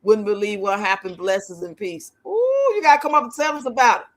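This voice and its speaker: A woman's voice: mid-range voice, expressive, a little fast. A woman of fifty-nine hosting a casual livestream, chatting with viewers in a blunt, outspoken, colloquial way. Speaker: livestream host.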